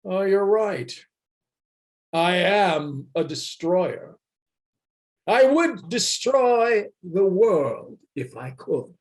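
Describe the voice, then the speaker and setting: A man's voice: high voice, expressive, very slow, full character voice. A man playing a bitter, scornful anarchist poet in a declamatory amateur radio play reading. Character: bitter red-haired anarchist poet, accusing and destructive. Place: remote reading over a video call.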